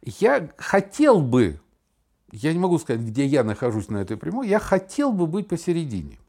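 A middle-aged man talking casually and reflectively, telling anecdotes emphatically, with halting, repeated word starts. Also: on the high side. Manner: animated, emphatic, halting with stammered repetitions. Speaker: podcast host.

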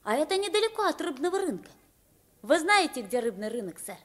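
A woman's voice: high voice, a little fast. A woman playing a poor, eager and deferential young London street boy in a radio play. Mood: timid, respectful. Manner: polite and deferential, animated when describing games. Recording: vintage 1950s radio play recording.

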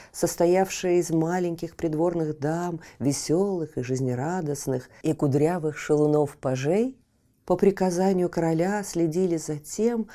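A woman reads a sad fairy tale for children in an unhurried, hushed way, often trailing into a whisper. Her voice is lowish, dark and mellow, with a gravelly fry. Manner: slow, soft, phrase ends fading to a whisper. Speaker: storyteller.

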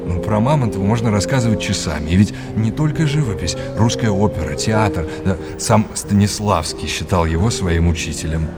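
An actor playing an erudite, middle-aged art historian and mentor, lecturing with gentle irony and old-fashioned courtesy in an audio drama. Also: male voice, on the low side, lively intonation, moderate pace, hardly any pauses, over loud music, natural voice. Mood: expansive, informative. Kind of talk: naturalistic audio drama acting.

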